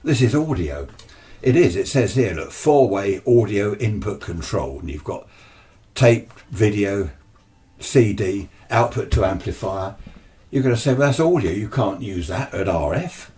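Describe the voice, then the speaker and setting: A man's voice: on the low side, expressive, unhurried, warm tone. A British man giving a casual, chatty explanation, often asking himself questions and answering them. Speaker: amateur radio video presenter. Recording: slightly distant microphone.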